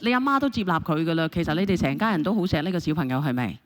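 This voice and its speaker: A woman host works through a dispute with her callers. Her voice sits a little low and moves quickly, insistent and admonishing, recorded dry. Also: animated, dry recording. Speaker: call-in show host. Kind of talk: mediating and questioning callers.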